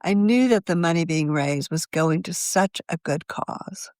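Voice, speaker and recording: A woman reading a written article aloud as a magazine editor, in a measured, journalistic manner of scripted narration. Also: lowish voice, animated, a little mellow, no room sound, studio quality.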